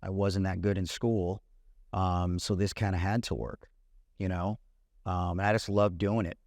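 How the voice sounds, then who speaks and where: Man, low voice, relaxed casual interview guest, dry close sound. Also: unhurried, no room sound. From Puerto Rican family, raised in California. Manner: relaxed, frequent "you know" and "man". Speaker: guest, celebrity content creator.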